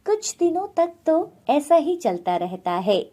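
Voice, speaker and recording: A woman's voice: sits high, very animated, measured pace, warm tone. A woman narrating a scripted moral bedtime story as a storyteller.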